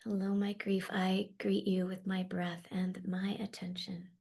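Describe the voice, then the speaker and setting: A woman leading a guided meditation in a soft, slow, often half-whispered voice. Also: mid-range voice, level tone, vocal fry, breathy edge. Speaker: meditation leader.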